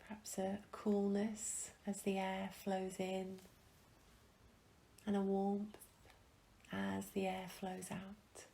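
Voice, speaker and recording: Calm woman doing a guided meditation, really slow n even, soft breathy voice w some creak, faint noise behind. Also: mid-range voice, low-quality recording. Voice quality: soft, breathy, often trailing into a whisper. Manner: gentle, calm, with long pauses. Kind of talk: guided meditation, soothing instruction.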